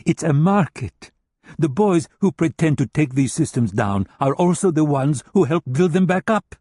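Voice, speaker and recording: A man voicing an agitated German male hacker who rants with contempt, husky-voiced. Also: a little high, animated, moderate pace, warm tone, dry recording, clean studio sound, pitched above the performer's own voice. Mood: impassioned.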